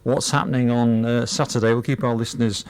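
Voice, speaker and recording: A man's voice: mid-range voice, fairly even intonation, fairly quick, darkish tone, smooth, no room sound. A middle-aged or older man, interviewing a guest conversationally on the radio.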